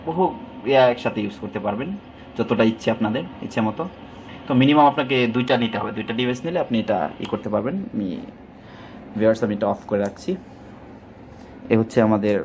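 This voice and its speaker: A salesman with a Bangladeshi Bengali accent rattles through a product demonstration at a very quick clip. His voice sits on the low side, and he repeats details in a steady sales patter. A faint hiss runs under his voice. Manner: rapid, repetitive sales talk, reels off phone numbers. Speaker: shop salesman.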